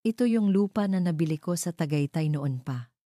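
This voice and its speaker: A woman voicing a gentle, earnest man in love, a devoted young father in a narrated romance drama. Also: fairly low pitch, animated, moderate pace, no echo, clean studio sound, lightly acted. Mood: hopeful.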